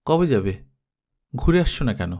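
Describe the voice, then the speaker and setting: A man voicing a reserved estranged wife in an audio story, grave, clipped and quiet. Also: medium pitch, big pitch swings, moderate pace, close to an everyday voice. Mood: cool, probing.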